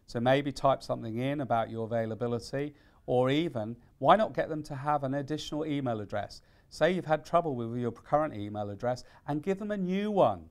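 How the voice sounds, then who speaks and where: Guy doing a careers tips talk, mid pitched voice, deliberate and stresses key phrases. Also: lively intonation. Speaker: careers consultant giving tips. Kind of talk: instructional presentation.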